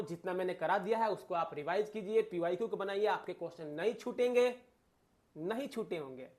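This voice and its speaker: A man teaching exam students in an informal lecture, emphatic and repeating key phrases as he addresses listeners directly. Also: sits high. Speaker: exam coaching teacher and video host. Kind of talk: informal lecture addressed to students, Hindi mixed with English terms.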